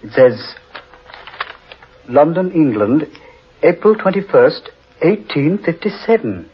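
No-nonsense gentleman, muffled sound. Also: fairly high pitch, very animated, slow pace, a little hiss or hum. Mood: reading solemnly. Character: dismissive, hearty, no-nonsense gentleman skeptic. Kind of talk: 1940s radio drama acting. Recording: muffled old radio recording.

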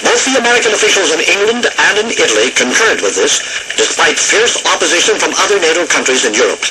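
Grave male network anchor for radio drama, acted, higher-pitched and lively in inflection, formal wartime bulletins, noisy broadcast. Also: heavily acted. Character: grave male American network radio news anchor during nuclear war. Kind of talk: scripted radio news bulletin acting.

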